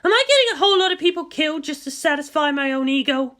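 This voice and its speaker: A defiant woman commander from an audio story, voiced by a female reader. Her voice is high, bright and forceful, with sharply animated swings. She speaks with intense emphasis and a fierce temper. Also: fast talker. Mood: frustrated.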